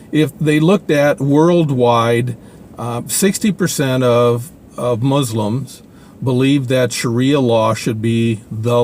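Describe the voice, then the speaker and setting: Halting older man musing to camera. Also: medium pitch, darkish tone. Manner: halting, many fillers and restarts. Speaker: video blogger. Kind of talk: casual opinion monologue.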